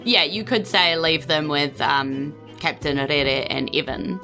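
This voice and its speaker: A woman's voice: deep voice, unhurried, sharp tone, soft background music. A woman narrating a tabletop game as game master in a casual, conversational, improvised way.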